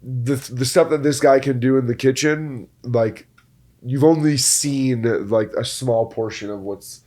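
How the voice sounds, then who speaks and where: A man's voice: medium pitch, a little slow, a little mellow. A man, a restaurant co-owner guest, chatting casually and animatedly on a podcast, swearing freely and filling his talk with "like.".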